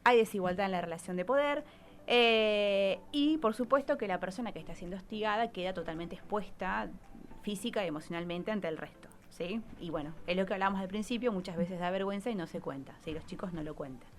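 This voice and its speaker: A woman's voice: mid-range voice, brisk. A woman with an Argentine Spanish accent gives an explanatory radio talk as a psychologist, in a didactic way, often checking in with short questioning tags. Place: radio studio. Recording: clearly noisy radio recording.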